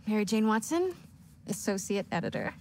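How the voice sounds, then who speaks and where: An actress playing a warm, teasing young editor in her twenties in a video game, speaking sincerely and gently. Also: mid-range voice, big pitch swings, normal speed, a little hiss or hum. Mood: cheerful. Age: 20s. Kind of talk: naturalistic video game cutscene acting.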